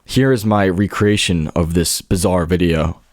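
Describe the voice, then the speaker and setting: A man's voice: low-pitched, a little slow, heavy background noise. A man narrating a scripted documentary-style video essay. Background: background music.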